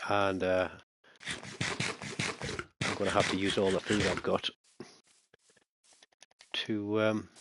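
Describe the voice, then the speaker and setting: A man's voice: deep voice, flat delivery, takes it slowly, long pauses, background noise. A man chatting casually to himself while playing a survival game, muttering and breaking into alarmed bursts when enemies appear. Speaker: gaming channel host. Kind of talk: casual let's-play commentary.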